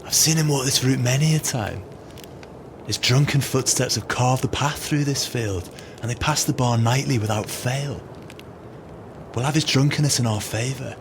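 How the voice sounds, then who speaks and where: Actor as cold, scheming swindler, noisy background. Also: male voice, mid-range voice, moderate pace, creaky, lightly acted. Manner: wry, scheming, colourful phrasing. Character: cold, calculating male swindler plotting murder. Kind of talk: audio play acting.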